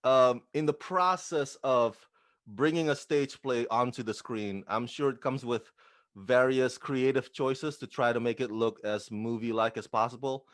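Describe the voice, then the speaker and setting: A man's voice: medium pitch, unhurried. A man working as an interviewer, putting prepared questions to his guests.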